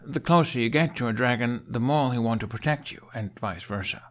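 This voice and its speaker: A man's voice: mid-range voice, fairly quick, lightly acted. A boyish young dragon rider as a male audiobook reader plays him speaks with easy confidence. He is earnest and reassuring, like someone sure of his plan in a storybook adventure. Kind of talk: audiobook character dialogue.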